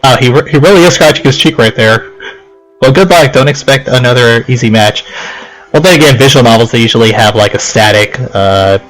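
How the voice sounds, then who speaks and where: A man's voice: mid-range voice, a little fast, a bit bright, rough recording. A man doing casual let's play commentary, reading game text aloud and rambling through puzzled reactions. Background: game music.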